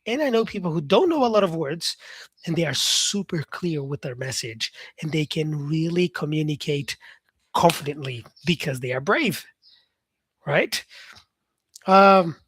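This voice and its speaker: A man's voice: on the high side, lively intonation, moderate pace, pauses often, fairly bright tone, breathy edge, dry recording. A man teaching English on a live stream, chatting casually with viewers and reading out their comments, with many fillers.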